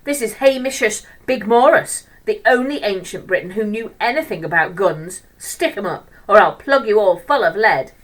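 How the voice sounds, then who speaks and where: A brash schoolboy rascal from a children's storybook, acted out by a woman reader. He is loud, smug and swaggering, with a lively, swinging pitch. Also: moderate pace, hardly any pauses, lightly acted, poor audio. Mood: bellowing, menacing.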